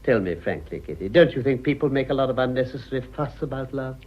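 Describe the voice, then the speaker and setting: Man acting a husky, animated, wry British gentleman. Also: medium pitch, quick pace, lightly acted. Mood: amused. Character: urbane, wry, cynical older English gentleman, amused. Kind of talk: radio drama acting of a stage comedy. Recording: dull lo-fi radio drama recording.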